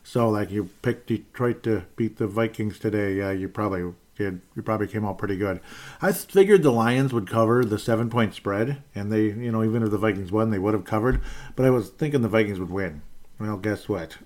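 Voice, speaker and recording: A man with a lowish voice hosts a loose, unscripted sports podcast. He rambles, stops to correct himself and drops wry little asides as he goes. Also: a little fast, darkish tone. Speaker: podcast host. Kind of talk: casual sports podcast talk.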